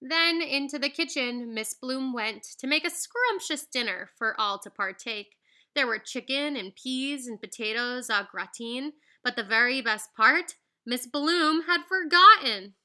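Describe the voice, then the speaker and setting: A woman reading a children's picture book aloud in an animated, singsong storyteller's way, with teacherly asides. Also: high voice, unhurried.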